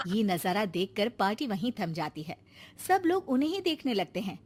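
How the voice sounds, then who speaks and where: Animated woman storyteller. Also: medium pitch, normal speed, very noisy. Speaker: story narrator. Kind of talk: scripted storytelling for a cartoon.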